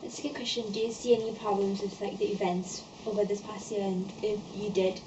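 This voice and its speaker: A woman in the audience putting two questions to the candidate, halting and full of fillers. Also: medium pitch, fairly even intonation. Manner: hesitant questions, many "like" fillers. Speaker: society member asking questions. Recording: distant, muffled, noisy room.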